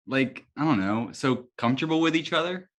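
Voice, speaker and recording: Hesitant young male rookie hero played by a man, casual, polite, mid-pitched, table-read drama. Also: expressive, a little fast, fairly bright tone, lightly acted. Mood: unsure. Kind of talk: amateur table read acting.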